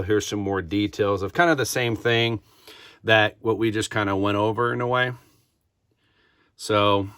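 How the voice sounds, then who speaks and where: A man giving a casual unboxing review as a product reviewer, speaking informally and a bit haltingly. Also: fairly low pitch, a bit bright. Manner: informal, hesitant, halting delivery.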